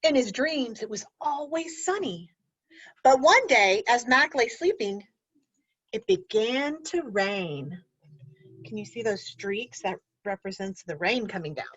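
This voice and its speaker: A woman teacher reading a picture book aloud to children, pausing to explain words and ask gentle questions. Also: a little high, expressive, husky, no room sound, highs cut off.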